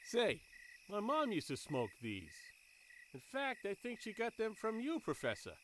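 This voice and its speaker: A man's voice: high-pitched, huge pitch swings, measured pace, slight background noise, heavily acted. A man playing a tough, cocky young gang leader in an audio drama, with streetwise, swaggering talk. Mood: curious.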